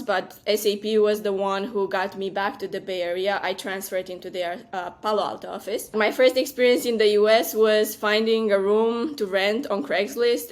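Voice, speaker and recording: A woman from Romania in her thirties, a venture partner answering interview questions in a measured, earnest way with frequent ums. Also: mid-range voice. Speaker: venture capital partner, guest.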